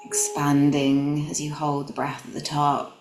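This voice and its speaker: A woman guiding a meditation in a gentle, calm, instructional manner. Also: sits low, a little slow. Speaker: meditation guide. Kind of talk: guided meditation. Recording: roomy echo, music behind. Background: background music.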